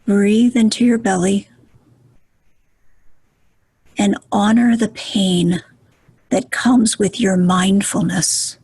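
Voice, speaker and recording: A woman leading a guided meditation in a soft, breathy voice, gentle and soothing, with deliberate pauses. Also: medium pitch, fairly even intonation, dry recording.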